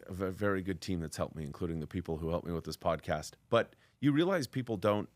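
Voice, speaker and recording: A man hosting a podcast interview, talking loudly and freely in casual conversation. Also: low-pitched, animated, quick pace, dry recording. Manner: loud, talkative. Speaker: podcast host, commercial real estate broker.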